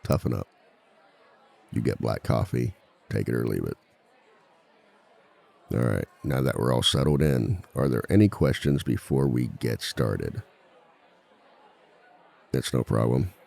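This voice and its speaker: A man playing a composed, dry-witted villain who teaches a seminar in a scripted ASMR roleplay, with a measured, polite authority and a gravelly voice. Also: expressive, a little mellow, some chatter behind, exaggerated voice. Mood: stern, dry. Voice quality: very deep, gravelly. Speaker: seminar instructor.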